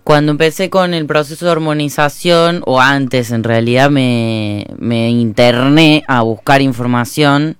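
A man answering interview questions thoughtfully, with many pauses and fillers. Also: medium pitch, steady tone, clear, resonant tone, dry recording. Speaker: guest.

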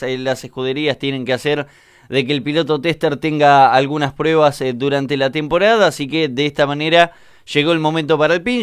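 A man's voice: fairly high pitch, clean tone, dry recording, studio quality. A man with an Argentine accent giving a live sports news rundown as a radio columnist. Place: radio studio.

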